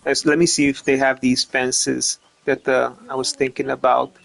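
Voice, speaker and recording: A streamer talks through his gameplay in a flat, even voice. He rambles, restarts his sentences over and over, and swears without a second thought. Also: medium pitch, moderate pace, vocal fry. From Romania. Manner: rambling, stumbles over repeated words, swears casually. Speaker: gaming streamer. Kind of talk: casual gameplay commentary.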